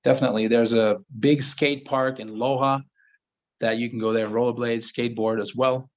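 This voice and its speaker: A man's voice: mid-range voice, normal speed. French-native guy doing a live q&a, easygoing and rambly, lots of ums, kinda muffled stream audio. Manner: relaxed, rambling, with frequent fillers and restarts. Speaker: host of a live Q&A. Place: home office in Southern Ecuador.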